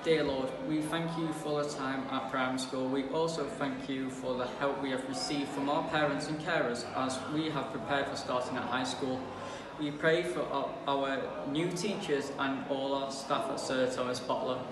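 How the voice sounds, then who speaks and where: A man's voice: mid-range voice, steady tone, moderate pace. Teenage boy praying, very echoey. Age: teens. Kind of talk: read-aloud prayer. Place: indoor school room. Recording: very echoey, distant mic, noisy.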